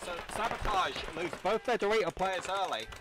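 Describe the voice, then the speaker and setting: A man commentating on a live match in a rough, cold-sounding voice, with quick, chatty banter. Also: sits high, expressive. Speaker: paintball commentator. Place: commentary booth at an outdoor paintball field. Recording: loud crowd and field noise behind.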